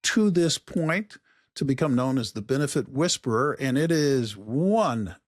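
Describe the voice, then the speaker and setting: A man's voice: medium pitch, animated, measured pace, no echo. Chatty man interviewer, dry sound. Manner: friendly, chatty, stumbles and restarts. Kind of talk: podcast interview.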